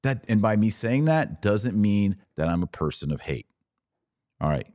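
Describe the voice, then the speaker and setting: A low-pitched American man hosts an opinionated commentary podcast. His delivery is emphatic and sarcastic, and he slips into mimicry of opponents. The sound is dry, with no room around the voice. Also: big pitch swings, moderate pace, a little mellow, no room sound.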